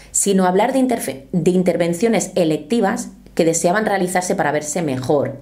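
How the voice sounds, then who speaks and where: A woman's voice: on the low side, a little mellow. A woman, talking earnestly to camera from a small home studio and reading quotes aloud. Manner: earnest, explanatory, gestures with her hands. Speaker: youtuber commentator.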